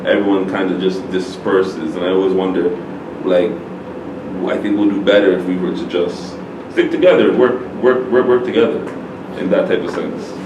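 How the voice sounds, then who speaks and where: Low-voiced chatty man host. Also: moderate pace. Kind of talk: casual interview. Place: studio. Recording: roomy, distant mic, noise.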